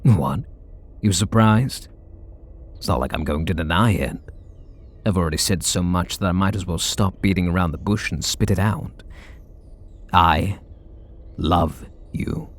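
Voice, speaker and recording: A man voicing a flustered, tender super villain in an ASMR roleplay, speaking softly and intimately. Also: unhurried, pauses often. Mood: confessing. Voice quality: low gravelly husky voice. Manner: soft and intimate, bashful.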